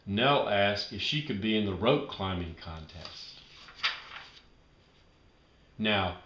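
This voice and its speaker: A man narrating a children's storybook aloud in an expressive, emphatic storytelling manner. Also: on the low side, a little slow, reverberant, dull audio.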